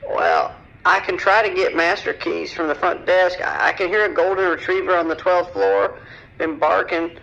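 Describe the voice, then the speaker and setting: An actor playing a distraught, soft-hearted male apartment resident making a hesitant emergency call in an audio drama, through a phone filter. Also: sits high, expressive, normal speed, creaky, heavily acted. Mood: distressed, resolved. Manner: hesitant, anxious, pleading. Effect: phone or radio filter. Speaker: emergency caller. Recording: dull, band-limited emergency phone line.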